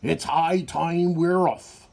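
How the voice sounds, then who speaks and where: A man voicing a gruff, commanding storybook pirate captain who bellows in hearty, drawn-out pirate talk. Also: fairly high pitch, a little mellow.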